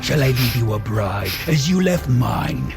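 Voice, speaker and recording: Slow, gravelly, bitter monster. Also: male voice, medium pitch, animated, over music. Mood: threatening. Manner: grandiose, archaic, deliberate speech. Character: wretched, vengeful man-made monster, bitter and eloquent. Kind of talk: audio drama acting.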